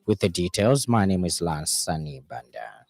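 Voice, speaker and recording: Deep, mellow Zambian male newsreader. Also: very animated, moderate pace. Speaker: radio news reader. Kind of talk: formal scripted news bulletin reading.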